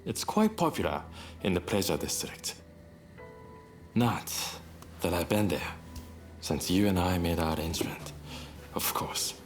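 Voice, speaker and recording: A young samurai rebel in a video game romance scene. His voice sits low and he speaks slowly, with a teasing, cocky, smooth-talking charm. Music plays behind him. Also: very animated. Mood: suggestive. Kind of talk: video game cutscene voice acting.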